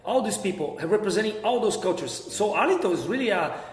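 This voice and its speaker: Male interview guest, Brazilian accent, slightly high voice, conversational, roomy echo. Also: lively intonation, quick pace. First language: Portuguese. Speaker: interview guest, golf center business owner. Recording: echoey, reverberant room.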